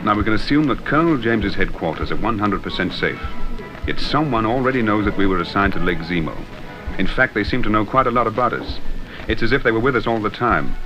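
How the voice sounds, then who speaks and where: An actor playing a confident, commanding secret agent hero in a 1960s radio drama, brisk and decisive as he explains things. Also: lowish voice, expressive, lightly acted. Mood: serious, explanatory. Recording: dull 1960s radio recording with music.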